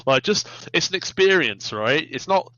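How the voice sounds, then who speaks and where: Male panelist, mid pitch, sharp bright voice, casual gamer chat, slightly muffled sound. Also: lively intonation, normal speed, dry recording. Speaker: podcast panelist. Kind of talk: casual, animated game discussion.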